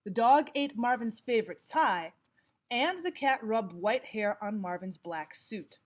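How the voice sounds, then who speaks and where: A woman teacher giving scripted instructional narration, explaining and reading examples out deliberately. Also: on the high side, animated, slight background noise. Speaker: language arts teacher.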